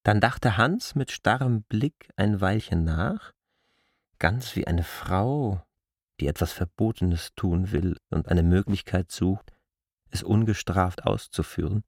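A deep-voiced man narrates a literary radio play in diction. His voice is husky, recorded very dry in a studio. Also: a little mellow, no echo.

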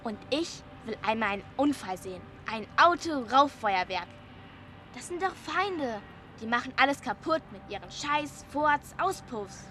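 Cheeky morbid little girl, high sharp voice, taunting, faint noise behind. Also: expressive, a little slow. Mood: eager, brash. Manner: taunting, gleeful. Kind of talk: radio drama acting.

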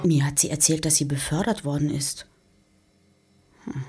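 An actress playing a stern, embittered middle-aged single mother in a radio drama, husky and gravelly, recounting things reproachfully. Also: sits low, steady tone, moderate pace, a little mellow, soft background music. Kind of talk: German radio drama monologue.

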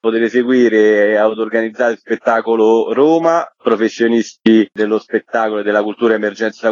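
A man's voice: fairly low pitch, darkish tone, no echo, highs cut off. A man speaking as an activist guest in a radio interview, making a political appeal. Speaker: activist guest, spokesman for show-business workers.

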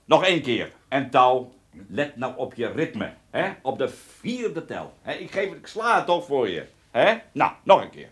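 An actor playing an exasperated, fussy amateur band conductor who repeats himself, in a Dutch radio play. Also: male voice, mid-range voice, big pitch swings, unhurried. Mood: pleading, fussy. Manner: repeats himself, calling to order.

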